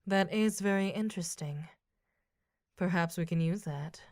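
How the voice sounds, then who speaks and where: A woman playing a cool, scheming, combative villainess in an audio drama, speaking in a measured way. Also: on the low side, a little mellow, no echo, studio quality, lightly acted.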